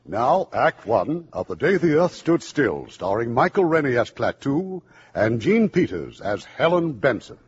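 A man's voice: mid-range voice, very animated, a little slow, few pauses, husky. A man hosting a 1950s radio theater, giving formal introductions to a play. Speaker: radio producer and host. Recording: dull 1950s radio broadcast recording.

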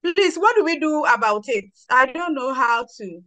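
A woman's voice: sits high, lively intonation, normal speed, sharp tone, dry recording. A woman student on a video call, asking for help and then politely telling the class not to write on the screen.

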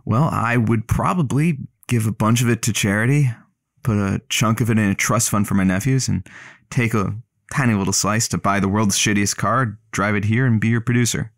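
A man's voice: fairly low pitch, moderate pace, no echo, clean studio sound, lightly acted. A man playing an easygoing, teasing radio producer in an audio drama. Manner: playful, teasing. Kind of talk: semi-scripted audio drama.

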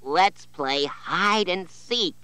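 A man voicing a crowd of excited young children in a children's storybook reading. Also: high-pitched, very slow, smooth, no room sound, pitched above the performer's own voice, heavily acted. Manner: squealing, excited.

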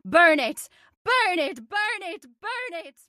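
A high, youthful female voice of an actress plays an impassioned heiress in an old-time radio horror drama. She speaks with theatrical urgency, pleading earnestly for her ideals. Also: expressive, slow pace, sharp tone, no room sound, studio quality, heavily acted. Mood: desperate. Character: impassioned idealistic young heiress of island factory.

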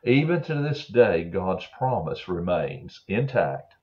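An older man preaching a Bible story to children in a slow, earnest way, with many fillers and throat clearing, recorded on a webcam in a home office. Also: low voice, sing-song, a little mellow. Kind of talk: gentle storytelling sermon for children. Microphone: webcam on a desk. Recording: dull lo-fi webcam audio.

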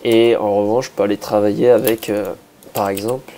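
A man with a hoarse voice, a bit sick, casually talking through a building project, thinking aloud with many hesitations and restarts. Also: fairly low pitch, level tone, brisk, dark tone, slight background noise. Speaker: Minecraft builder.

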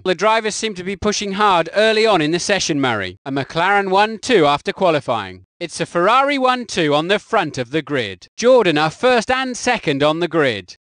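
A man delivering recorded co-commentary lines for a racing game in a measured, matter-of-fact way. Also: high voice, a bit bright, dry recording, studio quality.

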